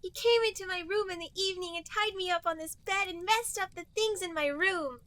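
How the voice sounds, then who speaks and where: A very high-pitched, distressed cartoon hedgehog girl, acted by a woman in an amateur reading. The delivery is theatrical and slightly halting, and the voice sounds a little distant from the mic. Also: fairly bright tone, dry recording. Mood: upset. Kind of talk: amateur dramatic reading of fan fiction.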